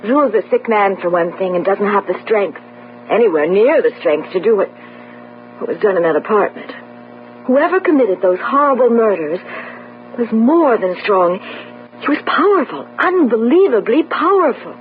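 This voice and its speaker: A woman's voice: mid-range voice, lively intonation, moderate pace, faint music behind, lightly acted. An actress playing a shrewd, affectionate young engaged woman in an emphatic, theatrical old radio mystery style. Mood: grave, insistent. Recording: tinny old radio broadcast, hum.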